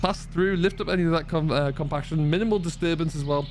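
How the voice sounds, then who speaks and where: A man's voice: on the high side, quick pace, a little mellow, slight background noise. A man hosting a farming roleplay gameplay video, chatting casually and explaining things as he thinks aloud. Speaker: gaming video host, playing a tenant farmer.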